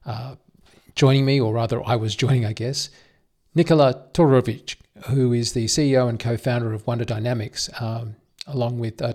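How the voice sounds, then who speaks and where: A man with an Australian accent, hosting a podcast and casually introducing a recorded panel, with frequent fillers. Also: medium pitch. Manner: hesitant, many fillers. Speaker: podcast host.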